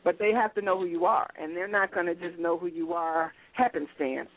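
A woman manager who is a guest on a radio show, giving measured, practical advice. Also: fairly low pitch, fairly even intonation. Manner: measured, practical, explaining step by step. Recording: tinny phone line.

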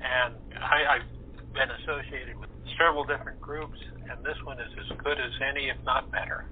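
A thin telephone sound with faint noise carries this man's mid-pitched voice. He talks casually and reflectively as a guest, halting as he works through his thoughts. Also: fairly even intonation. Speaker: voice actor, interview guest. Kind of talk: casual chat. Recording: phone-like line with faint noise.

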